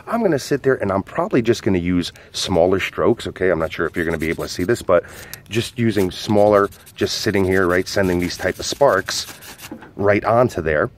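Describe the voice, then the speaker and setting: A man's voice: deep voice, fairly quick, slight background noise. A man, an outdoor skills instructor, casually explaining a fire starter while demonstrating it in his backyard, with lots of "uh" and "go ahead". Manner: relaxed, filler-heavy explaining.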